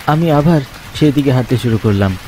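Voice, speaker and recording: A man tells a horror story in the first person. His voice sits at a middle pitch, with a dramatic, suspenseful delivery. Rain and thunder run noisily behind him. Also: expressive, brisk, dark tone, a little hiss or hum. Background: rain and thunder sound effects.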